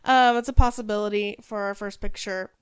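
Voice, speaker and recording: A woman's voice: mid-range voice, steady tone, a little fast, smooth, no room sound, clean studio sound. A woman co-hosting a podcast, chatting casually and reading out stories. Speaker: podcast co-host telling stories.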